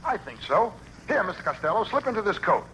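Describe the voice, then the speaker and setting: A fussy, flattering tailor from an old radio comedy gushes over his customer with sly digs. The voice sits high and swoops up and down. A thick hiss runs under it. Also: fairly quick. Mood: gushing. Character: flattering fussy male tailor, middle-aged. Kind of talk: 1940s radio comedy acting. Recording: hissy old radio broadcast recording.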